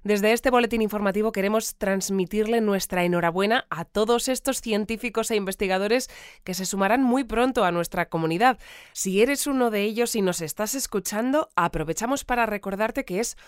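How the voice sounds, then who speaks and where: A woman's voice: medium pitch, brisk, clear, resonant tone, no echo, close to an everyday voice. Woman as a composed radio newsreader, dry studio. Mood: calm, official. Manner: formal, composed bulletin delivery. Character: composed female radio newsreader of strange town. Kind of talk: scripted news bulletin in audio fiction. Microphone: close studio mic. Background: music.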